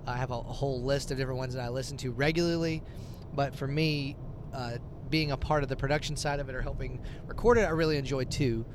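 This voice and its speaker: A man's voice: medium pitch, steady tone, quick pace. A man guesting on a podcast, earnest and talkative, holding a handheld mic in a moving car. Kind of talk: casual chat. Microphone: handheld mic held backwards. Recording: clear road noise from a moving car.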